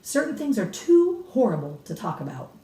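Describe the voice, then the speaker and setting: Woman voicing solemn old grandmother, storybook warning, emphatic drawn-out words, animated intonation, roomy echo, distant mic. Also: on the high side. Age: older. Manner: deadly serious, emphatic, drawn-out key words. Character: grave elderly grandmother solemnly warning about witches. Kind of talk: dramatic children's storybook reading.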